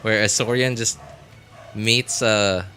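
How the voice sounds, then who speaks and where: A man giving casual live gameplay commentary, thinking aloud in a halting way with sarcastic asides. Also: lowish voice, steady tone, pushed and bright. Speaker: game streamer.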